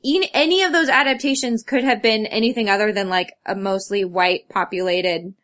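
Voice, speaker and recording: A woman co-hosting a casual podcast chat, talking earnestly and haltingly, with "like" scattered all through. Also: medium pitch, a bit bright. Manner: earnest, halting, filler-heavy with frequent "like". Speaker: podcast co-host. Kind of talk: casual chat about fandom and stories.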